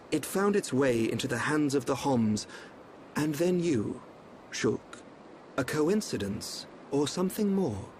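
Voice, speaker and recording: Mysterious calm seer for a fantasy game, formal n cryptic, medium pitch. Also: male voice, a little hiss or hum. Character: enigmatic young male royal seer, calm and knowing. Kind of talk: scripted video game cutscene acting.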